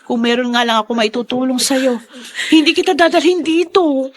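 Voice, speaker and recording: A woman's voice: sits high, normal speed. A woman playing a worldly, breezy young bar girl in a radio drama, chatty, brassy and coaxing. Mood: consoling.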